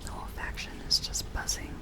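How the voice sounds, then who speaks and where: A woman doing a soft-spoken ASMR roleplay as a gentle, curious sci-fi medic, soothing and close to the microphone, leaving long pauses. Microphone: close-up sensitive ASMR microphone.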